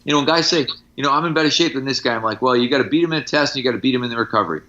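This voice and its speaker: A man's voice: medium pitch, very fast, pushed and bright, lo-fi sound. A middle-aged man, a strength coach, talking casually on a podcast in anecdotes and examples, with firm opinions.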